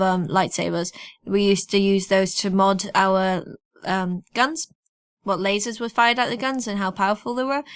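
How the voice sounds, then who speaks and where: A woman's voice: medium pitch, no room sound, studio quality. A woman hosting a podcast, reading out questions and chatting casually. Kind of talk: casual chat.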